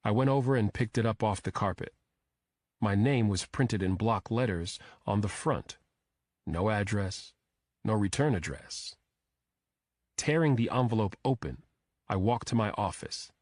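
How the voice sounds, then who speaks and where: Low-voiced man narrating a thriller audiobook, close and dry. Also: lively intonation, a little slow, pauses often, darkish tone, no room sound. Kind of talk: scripted first-person thriller narration, audiobook.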